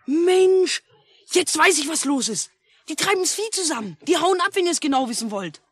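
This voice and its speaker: An actress playing an excitable, cheeky, mocking young boy in a 1950s German radio drama. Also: sits high, normal speed. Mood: excited. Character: excitable, cheeky young boy who taunts his sibling. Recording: noisy old mono radio recording.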